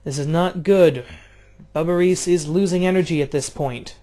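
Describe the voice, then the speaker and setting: A man doing casual play-by-play of a video game wrestling match, jumping between loud outbursts and low, offhand, swearing asides. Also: moderate pace. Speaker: play-by-play commentator.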